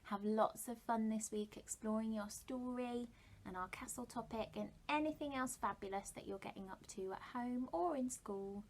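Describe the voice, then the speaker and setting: Expressive, high-pitched woman teacher. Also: low-quality recording. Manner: expressive, addressing young children. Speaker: primary school teacher. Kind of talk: children's story read-aloud with questions to listeners.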